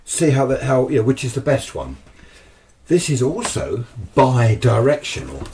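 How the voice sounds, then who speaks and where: A man's voice: mid-range voice, sing-song, moderate pace, a little mellow. A British man giving an informal, unscripted hands-on explanation as a hobbyist, chatting to the viewer with asides and questions. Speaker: amateur radio hobbyist presenting a demonstration. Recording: distant mic, noisy with clicks.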